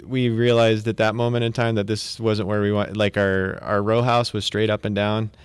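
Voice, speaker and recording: A man guest telling stories in a relaxed, joking way during a casual interview. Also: lowish voice, moderate pace, clear, resonant tone, no room sound. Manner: easygoing, joking storyteller. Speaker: guest, optometrist.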